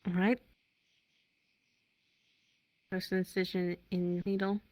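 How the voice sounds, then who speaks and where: A woman's voice: on the low side, flat delivery, moderate pace, darkish tone, no echo. Woman roleplaying a matter-of-fact medic, dry sound. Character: town medic tending a wounded hunter. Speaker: roleplayer playing a town medic. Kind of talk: improvised roleplay dialogue.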